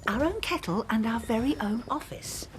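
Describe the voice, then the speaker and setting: An actress playing a talkative, prim and proud small-town historian with an English accent and quaint phrasing, in a radio drama. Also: female voice, on the high side, animated, normal speed, noisy background, natural voice. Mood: helpful, proud. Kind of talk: naturalistic radio drama acting.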